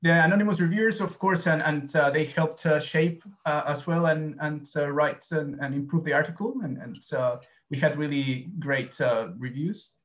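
Argentine man presenting, halting and hesitant. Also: on the high side. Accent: Argentine Spanish. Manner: hesitant, many fillers and restarts. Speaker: academic presenter. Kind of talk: conference presentation over video call. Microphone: video call.

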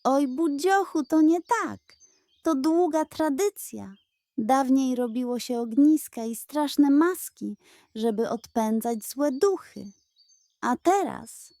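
A woman voicing a lively, knowing female goat who explains things eagerly and teases, in a children's audio story. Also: high voice, takes it slowly, dry recording, studio quality, voice pushed up.

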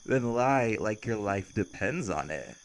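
A man's voice: on the low side, lively intonation, unhurried. A man playing a cheerful, cocky vampire who teases, flirts and casually threatens in an intimate audio roleplay. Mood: cheerful menace.

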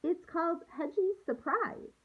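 A woman in her thirties, a teacher reading aloud to young children with an expressive, sing-song delivery, recorded on a handheld-distance device at home. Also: very high-pitched, unhurried, lo-fi sound. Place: small room at home, indoors. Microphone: phone or laptop at arm's length.

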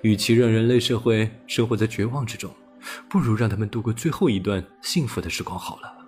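Low-voiced male audiobook narrator over music. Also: brisk, a little mellow. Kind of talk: scripted science-fiction audiobook narration.

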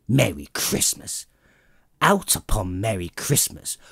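A man's voice: lowish voice, huge pitch swings, slow pace, vocal fry, a bit bright, slightly rough, no echo, clean studio sound, heavily acted. A man voicing a grumpy old miserly uncle in an audiobook, curt, indignant and scornful. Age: older. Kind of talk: dramatic audiobook character reading.

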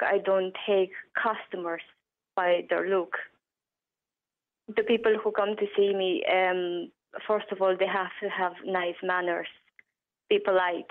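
Woman with a Romanian accent, phone-line caller; narrow lo-fi sound, plainly blunt and matter-of-fact. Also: mid-range voice. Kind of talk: phone-in interview. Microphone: telephone.

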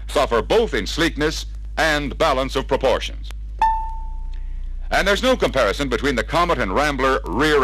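A man's voice: mid-range voice, a bit bright, poor audio. An American man reading scripted sales narration in an emphatic, polished 1960s training-film manner, on a vintage record.

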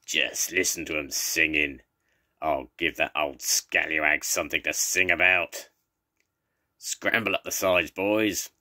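A man's voice: a bass voice, steady tone, measured pace, pushed and bright, full character voice. Man doing a throaty, gloating pirate villain. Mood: sly gloating. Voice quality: throaty, growling. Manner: menacing, gloating, roaring. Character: gruff villainous male pirate captain. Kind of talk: storybook reading.

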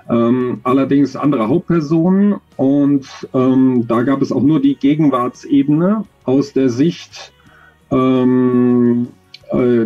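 A man's voice: medium pitch, breathy edge, lo-fi sound. A middle-aged man giving thoughtful interview answers, hesitant and often searching for words as he explains. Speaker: interview guest, audio drama author. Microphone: video call, close to webcam.

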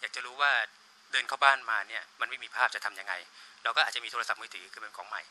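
A man's voice: on the low side, quick pace, sharp tone. A man in his thirties explaining his work as a producer in an informal interview, on a handheld mic in a small office. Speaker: radio drama producer being interviewed. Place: small studio office, indoors. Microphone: handheld reporter microphone. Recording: noisy room, handheld interview microphone.

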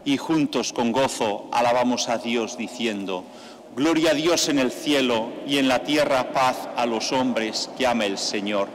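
An older man, a priest, leading mass with a slow, measured liturgical delivery into a stand microphone in a large church. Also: medium pitch. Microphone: stand mic at the altar. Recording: long church reverb, faint background noise.

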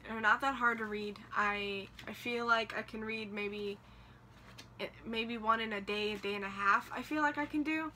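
A woman's voice: medium pitch, bright, forceful tone, a little hiss or hum. A young woman chatting casually to camera about her reading plans, rambling with lots of fillers, recorded in a small room by her bookshelves. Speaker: book vlogger.

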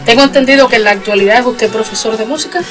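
A woman with a Cuban accent hosting an online radio interview, speaking in a measured, courteous and formal way. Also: a little high. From Cuba. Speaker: radio show host. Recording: music bed behind speech.

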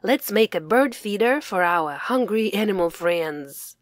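A woman plays a kind storybook mother. She is comforting and patient, explaining things slowly with a lively lilt. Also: mid-range voice, no echo, studio quality, natural voice. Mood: encouraging.

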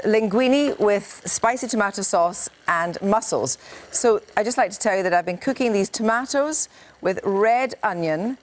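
A middle-aged woman news presenter with a brisk, wry manner, talking while she cooks on air in a TV studio. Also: mid-range voice, a little hiss or hum. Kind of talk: light-hearted live cooking segment. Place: TV studio with a cooking set.

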